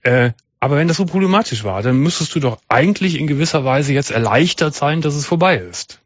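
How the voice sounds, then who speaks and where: A man's voice: a little high, expressive, a little fast, dry recording, lo-fi sound. A man hosting a late-night call-in radio show, giving direct advice and often stumbling over and repeating his words. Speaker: radio talk show host.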